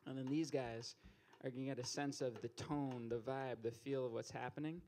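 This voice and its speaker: A man's voice: mid-range voice, fairly even intonation, normal speed, slight background noise. A young man hosting a poetry open mic on a small stage, teasing the crowd with stand-up style banter into a handheld mic. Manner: joking, teasing, rising to loud calls to the stage.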